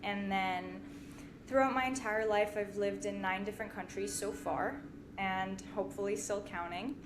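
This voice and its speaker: A woman's voice: mid-range voice, fairly even intonation, normal speed, background noise. A woman in her twenties from Syria, chatting informally as a podcast guest and often dropping in "like". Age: 20s. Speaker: podcast guest, finance company partner. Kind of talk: casual conversational interview.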